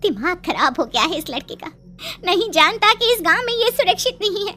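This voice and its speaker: A woman playing an anxious, scolding village mother in an animated story drama. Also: very high voice, fairly quick, faint music behind, full character voice. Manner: fretful, scolding.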